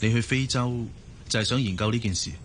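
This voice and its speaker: A man's voice: mid-range voice, fairly quick, slight background noise, lightly acted. A man acting in a Hong Kong Cantonese radio drama as an earnest young hospital doctor who is evasive and hesitant. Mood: concerned, earnest. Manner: hesitant, stammering.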